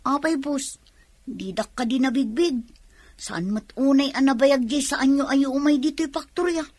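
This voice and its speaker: A woman's voice: high voice, moderate pace, a little mellow, no echo, natural voice. A woman playing a shy, tomboyish young factory worker with hesitant, bashful replies in a comedy radio drama. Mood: coy, pleased.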